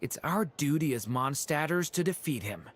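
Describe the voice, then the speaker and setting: A man's voice: a little high, expressive, moderate pace, a little hiss or hum, natural voice. An actor voicing an earnest, resolute male knight.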